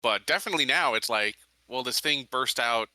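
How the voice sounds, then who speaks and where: A man takes part in a tabletop roleplaying game as a player. His mid-pitched voice is very bright and sharp, and he talks casually as he explains his character. The recording is dry and close. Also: animated, brisk, dry recording. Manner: informal, filler-heavy explaining. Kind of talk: casual improvised roleplay talk.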